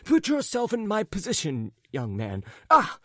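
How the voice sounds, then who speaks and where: Man acting out a middle-aged storybook gentleman, high-pitched, agitated, muddled, apologetic, rambling, very animated intonation. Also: unhurried, no room sound, studio quality, full character voice. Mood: flustered, pleading. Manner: agitated, wordy, stumbling and correcting himself.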